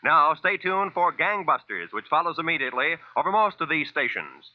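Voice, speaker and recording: A man's voice: a little high, sing-song, normal speed. An American man announcing for network radio in a polished, formal, scripted 1940s style. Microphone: dry studio broadcast mic. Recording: muffled vintage radio recording, faint music.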